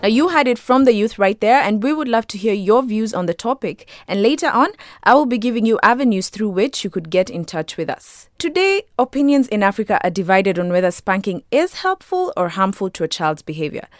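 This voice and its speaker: Casting: female talk show presenter, medium-pitched voice, reading scripted introductions and links. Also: sing-song, brisk, hardly any pauses, no room sound, clean studio sound. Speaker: host.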